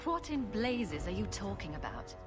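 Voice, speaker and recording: Actress as sarcastic adventurer heroine, video game style, very animated pitch, loud music over her. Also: normal speed, close to an everyday voice. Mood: wry. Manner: sardonic, dry. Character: wry, unflappable heroine adventurer, quick with sarcasm.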